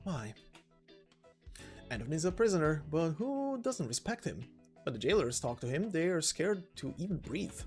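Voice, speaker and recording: A man plays a gossipy convict from a game story, rambling in folksy slang. His pitch swings widely as he talks, confiding like an old hand of the cellblock, with music underneath. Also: sing-song, moderate pace. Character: chatty folksy male prison convict spinning gossip.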